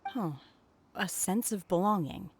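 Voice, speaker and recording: A woman's voice: mid-range voice, unhurried, darkish tone, slight background noise, natural voice. A woman voicing a reserved, earnest young outsider in a video game, with a hesitant, formal manner. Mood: reserved, wondering.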